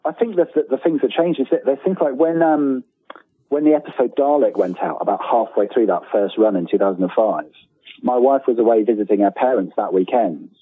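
A man's voice: medium pitch, fairly quick, slightly rough, no room sound. A middle-aged man talking casually as an interview guest, rambling, self-deprecating and enthusiastic, over a thin phone-like line.

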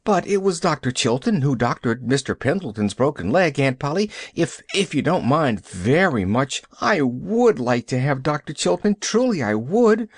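A man's voice: a little high, sing-song, normal speed, hardly any pauses, dry recording. A man voicing an eager, cheerful young girl who pleads breathlessly in a storybook reading. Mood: pleading.

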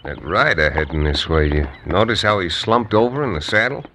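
A deep-voiced frontier lawyer. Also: male voice, a little fast, pushed and bright, a little hiss or hum. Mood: earnest. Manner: easygoing, good-humored. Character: steady male frontier rancher and lawyer. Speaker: lead narrator. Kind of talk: 1950s radio drama acting.

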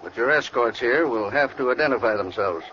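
An actor playing a firm, unflappable police officer in an old radio crime drama, measured and courteous in an official way. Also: male voice, medium pitch, lively intonation, bright, forceful tone. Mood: suspicious, firm. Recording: muffled, noisy vintage radio recording.

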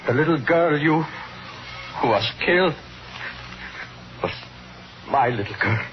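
A man's voice: a little high, lively intonation, takes it slowly, over music. A man acting a weary, grieving, stern hotel man in a 1950s radio thriller. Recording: noisy, dull vintage radio broadcast.

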